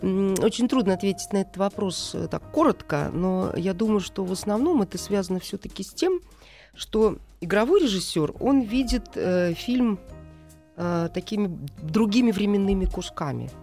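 Casting: mature female interviewee, mid-range voice, thoughtful conversational delivery with pauses and stressed phrases, light music underneath. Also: mid-range voice, animated, mellow. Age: middle-aged. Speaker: guest, animation film director.

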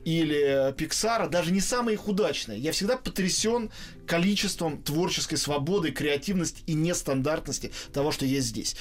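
A man hosting an interview, asking long, searching questions in a conversational way and often correcting himself as he goes. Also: fairly high pitch. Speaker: interviewer, show host.

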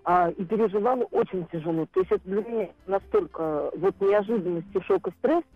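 A woman's voice: medium pitch, a little fast, no echo, soft background music. A woman in her forties calling in to a radio show, speaking earnestly and emotionally, in a confiding way. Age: 40s. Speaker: caller. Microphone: telephone. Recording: phone line.

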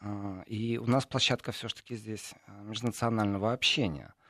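A man answering interview questions in a relaxed, digressive way, with many fillers and asides. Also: on the low side, normal speed, dry recording, clean studio sound. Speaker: guest describing parliamentary assembly.

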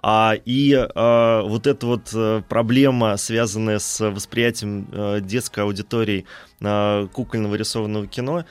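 A man speaking as an expert guest in an informal radio interview, haltingly, repeating words and hedging as he thinks aloud. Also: lowish voice, steady tone, clean tone, no echo. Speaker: guest film critic and animation historian.

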